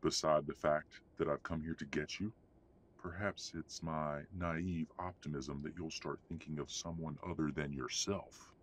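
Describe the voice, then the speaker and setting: A man playing a haughty, middle-aged werewolf patriarch in an audio drama, speaking in a formal, measured, condescending way. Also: extremely low pitch, fairly even intonation, slight background noise. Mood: coolly disapproving. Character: haughty, domineering old-money werewolf patriarch father. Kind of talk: audio roleplay drama acting.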